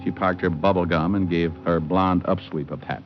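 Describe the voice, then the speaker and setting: Deep-voiced, wisecracking private eye. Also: male voice, steady tone, moderate pace. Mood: wry, sardonic. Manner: sardonic, smooth-talking. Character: wisecracking, suave male private detective. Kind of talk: first-person noir radio narration and dialogue. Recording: muffled old radio recording with music. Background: music.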